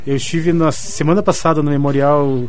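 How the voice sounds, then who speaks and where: A man with a Curitiba accent, a guest illustrator answering radio interview questions in quick, eager bursts full of restarts. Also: on the high side, steady tone, a little mellow. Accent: Curitiba Brazilian Portuguese. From Curitiba, Brazil. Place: radio studio.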